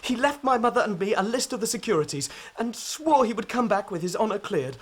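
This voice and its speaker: A nervous young man in trouble, radio play, halting, pleading, voice swings a lot. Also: high voice, sing-song, breathy edge, full character voice. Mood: earnest. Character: distraught young man under suspicion, pleading. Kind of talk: radio drama acting.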